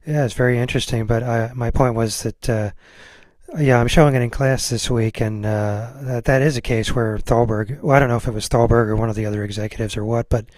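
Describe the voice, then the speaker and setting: A man's voice: mid-range voice, fairly even intonation, a little fast, darkish tone, no echo. Male podcast host, close dry sound. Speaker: podcast host interviewing a guest. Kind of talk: casual interview chat.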